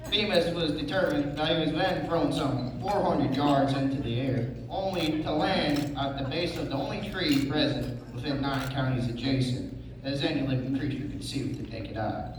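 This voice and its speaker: A man's voice: on the high side, normal speed, lightly acted. A man playing a wry, formal narrator of a Western tall tale in a scripted stage reading. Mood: wry narration. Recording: very echoey hall, far mic, noisy.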